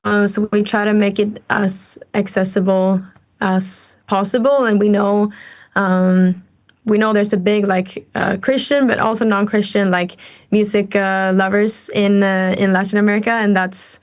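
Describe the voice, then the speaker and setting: A woman's voice: medium pitch, fairly even intonation, a little slow. A woman from Sweden with a Swedish accent, a musician speaking thoughtfully and hesitantly in an interview. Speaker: musician guest.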